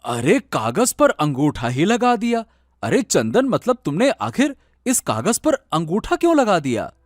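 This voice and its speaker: A man's voice: high-pitched, wildly swooping pitch, measured pace, no echo, studio quality, full character voice. A man playing a sly elder brother who puts on wheedling affection in a cartoon story. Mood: feigned concern. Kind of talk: Hindi cartoon moral story acting.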